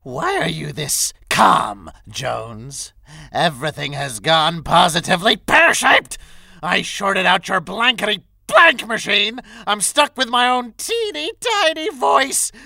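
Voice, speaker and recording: A performer playing a small, frustrated, theatrical schemer villain in an audio drama, with gravelly, melodramatic outbursts. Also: medium pitch, very animated, slow, pushed and bright, dry recording, exaggerated voice. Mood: despairing.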